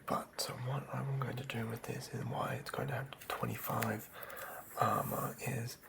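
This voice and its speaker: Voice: mid-range voice, flat delivery, moderate pace, gravelly. A person whispering unscripted ASMR, murmuring hesitantly and thinking aloud while working on a tabletop game monster. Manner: hushed, hesitant, murmuring to self.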